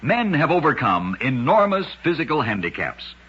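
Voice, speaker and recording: A man's voice: on the high side, very animated, moderate pace, fairly bright tone, slightly rough. A man narrating short curiosities for radio in a scripted, dramatic style with emphatic storytelling. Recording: dull lo-fi old radio recording.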